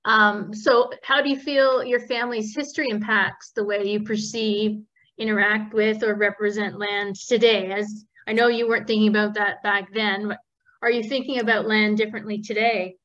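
A woman interviewer poses her questions gently over a video call, nudging her guest along with soft encouragement. Her voice sits at a middle pitch and comes through muffled, with the dull, lo-fi sound of call audio. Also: level tone, moderate pace. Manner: gentle, encouraging with frequent mm-hmms.

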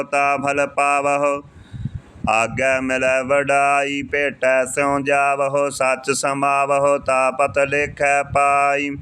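A man reciting Sikh scripture in a measured, even, chant-like reading. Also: mid-range voice, clear, resonant tone. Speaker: scripture reader.

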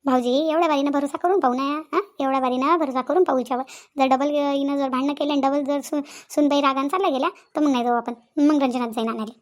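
A woman's voice: high-pitched, fairly even intonation, fast, hardly any pauses, close to an everyday voice. A woman playing a middle-aged, insistent village sister-in-law in a Marathi cartoon comedy, with a Vidarbha accent, coaxing and scolding repetitively. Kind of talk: cartoon family comedy dialogue.

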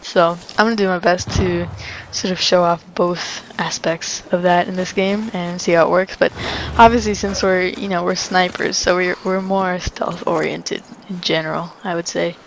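A young woman chats her way through a shooter, rambling and laughing as she plays. Her voice sits a bit low for a woman. Game sounds run clearly in the background. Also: steady tone, moderate pace, hardly any pauses, slight background noise, rough recording. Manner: chatty, rambling, laughs often. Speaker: let's play host. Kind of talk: casual gameplay commentary. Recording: commentary over game sounds.

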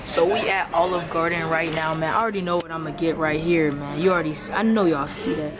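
A man's voice: fairly high pitch, steady tone, quick pace, music in the background. A teenage boy with an American accent, chatting casually and slangily to the camera as a vlogger. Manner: slangy, informal. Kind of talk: casual vlog chat to camera. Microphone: camera at arm's length.

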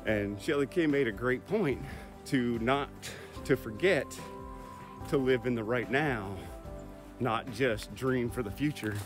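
A man's voice: medium pitch, measured pace, over music. A man in his thirties co-hosting a casual hiking vlog, upbeat and teasing, talking outdoors in winter woods into a handheld phone. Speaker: vlog co-host. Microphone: handheld phone camera.

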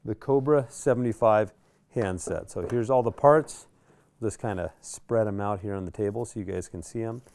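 A man's voice: medium pitch, warm tone. A middle-aged American man presenting a product in a casual, conversational way, thinking aloud as he goes. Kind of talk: casual unboxing commentary. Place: indoor radio workshop.

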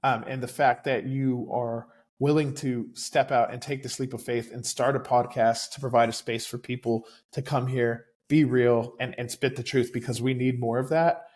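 Earnest American man, mid-pitched voice. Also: fairly even intonation, moderate pace, few pauses, a little mellow. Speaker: interview guest, school board candidate. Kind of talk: conversational campaign interview.

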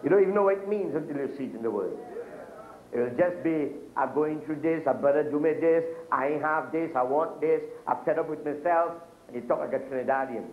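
A man's voice: on the high side, a little fast. A middle-aged man preaching a sermon at a pulpit, gesturing and calling out to the congregation. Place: church hall with curtains, speaking at a pulpit. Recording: echoey church room, dull lo-fi video sound.

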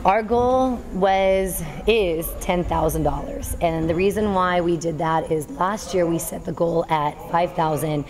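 A woman in her thirties, a girls volleyball coach, giving an earnest postgame interview in a high school gym, working through her thoughts with small restarts. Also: measured pace, few pauses, over music. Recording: noisy gym ambience.

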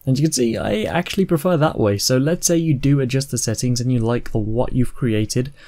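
A man in his twenties talks through a software tutorial at a mid-range pitch. His delivery is informal and explanatory, moving step by step in a casual narrating style. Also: fairly quick. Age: 20s. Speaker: tutorial host.

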